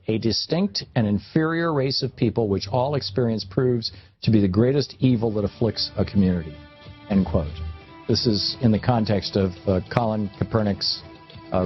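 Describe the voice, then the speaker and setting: A man's voice: lowish voice, normal speed, faint music behind. A man hosting talk radio, reading aloud from a newspaper column and commenting on it with emphatic emphasis and conversational asides. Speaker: radio talk show host. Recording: tinny phone-like radio audio, roomy echo.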